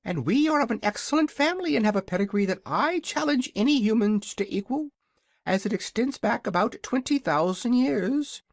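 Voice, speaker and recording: A reader voicing a proud, hungry young dragon in a storybook, with a high, drawling, haughty manner. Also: no echo, lightly acted. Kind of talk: audiobook character voice.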